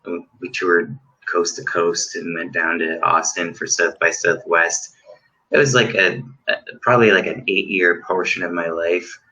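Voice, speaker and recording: A man's voice: low-pitched, steady tone, a little slow, bright, forceful tone, dull audio. A man talking casually as a guest musician, rambling with many fillers and restarts. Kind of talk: casual chat.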